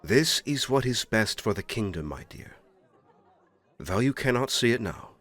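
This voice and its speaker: A man's voice: medium pitch, expressive, unhurried, fairly bright tone, no echo, a faint crowd. An actor playing a stern, imperious older king in an audio roleplay drama, speaking formally and with weighty dramatic emphasis. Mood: solemn, persuading.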